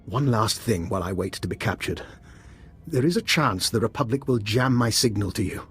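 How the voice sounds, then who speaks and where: An actor playing a resourceful, composed doctor and schemer in a video game, speaking in a measured, persuasive way. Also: male voice, fairly low pitch, animated, a bit bright, husky, faint music behind, full character voice. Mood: calm, persuasive. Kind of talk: video game cutscene acting.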